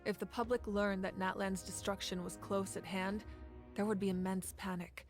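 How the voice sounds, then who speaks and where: An actress voicing a proud, protective female ruler in a video game, speaking calmly, steadily and with resolve. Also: medium pitch, normal speed, soft background music, close to an everyday voice. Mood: resolute calm.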